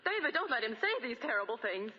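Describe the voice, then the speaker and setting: A woman's voice: fairly high pitch, sing-song, quick pace. A woman playing a distraught, pleading heroine in 1940s radio melodrama, imploring and emotional. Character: distraught, pleading woman betrayed by a trusted friend. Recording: dull, lo-fi 1940 radio broadcast.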